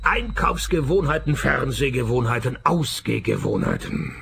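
Wry, gravelly private detective. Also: male voice, medium pitch, normal speed, slight background noise. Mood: deadpan. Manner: dry, deadpan, sarcastic. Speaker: lead actor and narrator. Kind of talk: radio play detective narration and dialogue.

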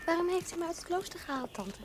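A polite, curious little girl from a convent. Her voice is very high, in the style of a period audio drama. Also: animated, fast, noisy background.

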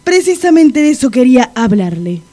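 An actress playing a formal, by-the-book police sergeant in a comic radio drama. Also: female voice, high voice, very animated, normal speed, warm tone. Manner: formal, procedural.

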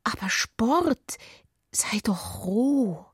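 A woman reading literary narration for a radio drama in a breathy voice that often drops near a whisper. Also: fairly high pitch, expressive, extremely slow, no echo, clean studio sound.

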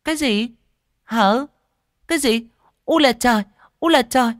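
A man voicing a sharp-tongued, scornful market woman who haggles and exclaims over and over in an audiobook story. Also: very high-pitched, a little slow, no room sound, studio quality, pitched above the performer's own voice. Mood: scornful haggling.

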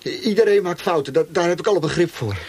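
A man's voice: high voice, fast, background noise. An actor playing a frightened young male prisoner in a radio drama, stammering and pleading to be released. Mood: anxious pleading. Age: young adult.

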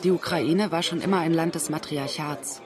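A woman's voice: deep voice, moderate pace, background noise, natural voice. An actress voicing an outspoken woman writer in a scripted radio voice-over translation. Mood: earnest.

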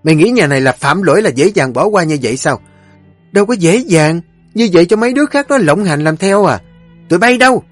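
A tyrannical old lady of the house barks orders in a high, piercing voice, as a male narrator puts her on. Her pitch leaps and swoops as she scolds. The sound is close and dry, over faint music. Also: big pitch swings, a little fast, dry recording. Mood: furious. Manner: shrill commanding scolding. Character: stern harsh old mistress of household, woman.

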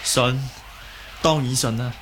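An actor playing a concerned, good-natured young martial arts hero in a Cantonese radio drama, earnest and hesitant. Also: male voice, mid-range voice, steady tone, takes it slowly, a bit bright. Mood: earnest, worried. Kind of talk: Cantonese audio drama acting. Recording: clearly noisy background.

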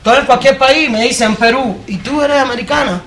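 A woman's voice: high voice, fairly quick, fairly bright tone, a little hiss or hum. A woman from Cuba with a Cuban accent, speaking with force at a live public discussion, addressing the audience directly with rhetorical questions. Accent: Cuban Spanish. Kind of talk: impassioned live panel talk.

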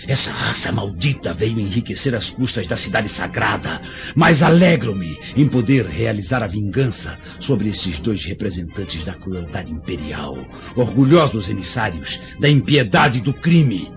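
An actor with a Brazilian accent playing a vengeful, fanatical old rebel leader in a declamatory, menacing radio drama style. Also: male voice, lowish voice, lively intonation, measured pace. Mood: bitter, vengeful. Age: older. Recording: dull lo-fi radio drama with music.

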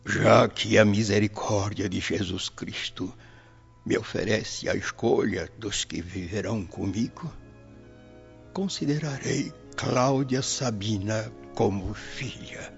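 Noble patriarch spirit for radio drama, male actor, slow and solemn, muffled recording with clear music underneath. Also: fairly low pitch. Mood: solemn devotion. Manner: solemn, declamatory. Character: noble, forgiving patriarch spirit, devoted and gentle.

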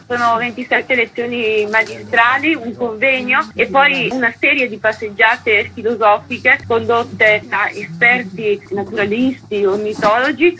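A woman's voice: medium pitch, bright, forceful tone, rough recording. A woman giving formal, articulate, elaborately phrased answers as a festival director in a radio interview.

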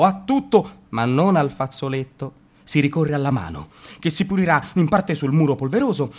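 A man reading a comic monologue for radio theatre in a wry, sardonic way, with sudden jumps in pitch. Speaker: radio drama reader.

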